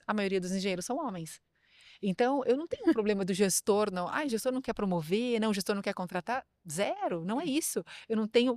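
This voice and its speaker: Fast-talking woman executive guest. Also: medium pitch, dry recording, studio quality. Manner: lively, chatty, quick to agree and laugh along. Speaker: HR director, podcast guest. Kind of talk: casual interview conversation.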